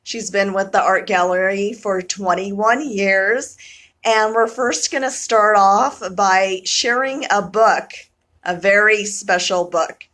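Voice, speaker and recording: A woman hosting a local vlog, interviewing in an art gallery and reacting warmly, recorded on a phone camera. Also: mid-range voice, slow pace. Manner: warm, encouraging, short reactions. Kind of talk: casual interview.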